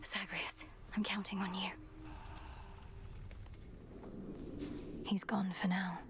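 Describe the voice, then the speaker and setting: A woman's voice: mid-range voice, little pitch movement, normal speed, noisy background, lightly acted. Woman doing determined young witch goddess for a game, mid voice, serious and kinda formal. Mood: hushed, solemn. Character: young goddess princess witch, earnest and resolute. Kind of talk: dramatic video game acting.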